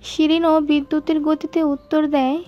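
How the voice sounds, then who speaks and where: A woman's voice: high-pitched, little pitch movement, moderate pace, muffled recording, soft background music. A woman narrating a scripted romantic story.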